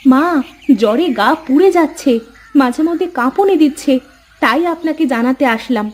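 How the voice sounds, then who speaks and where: A woman's voice: high-pitched, expressive, moderate pace, darkish tone. A woman voicing a dutiful, worried young village co-wife in a cartoon fairy tale, earnest and pleading.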